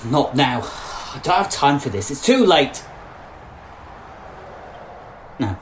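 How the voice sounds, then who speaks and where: An actor playing a distraught, bitter suspended policeman in an audio drama, speaking in an anguished, halting way. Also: mid-range voice, very animated, a little hiss or hum. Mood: irritated. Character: distraught suspended young policeman, bitter, guilt-ridden lover. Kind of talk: audio drama monologue and dialogue.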